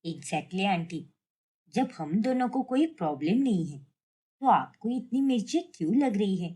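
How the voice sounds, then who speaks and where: A woman's voice: medium pitch, expressive, a little slow, dark tone, husky, voice pushed down. Casting: female reader as outspoken young woman, courteous yet direct, cartoon family story. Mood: defensive. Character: polite but outspoken young woman, Komal.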